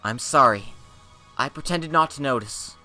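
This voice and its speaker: Young princess, male reader, regal, resolute and gentle, raised pitch, formal delivery for a dramatic fantasy reading. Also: unhurried, faint music behind. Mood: resigned, gentle.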